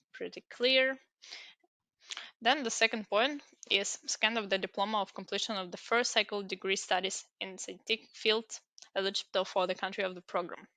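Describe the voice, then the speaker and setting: A woman's voice: mid-range voice, pushed and bright, no room sound. A young woman whose first language is Ukrainian, walking through an online application form, reading out fields and explaining them with examples and frequent fillers. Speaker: tutorial presenter. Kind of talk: screen-recorded walkthrough tutorial.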